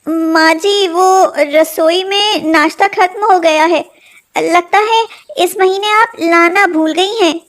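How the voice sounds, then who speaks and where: A very high, sweetly wheedling young daughter-in-law acted by a woman storyteller. She is sly and food-loving, and puts on wide-eyed innocence in an unhurried storybook delivery. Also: a bit bright, heavily acted. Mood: feigned innocence. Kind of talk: animated moral story acting.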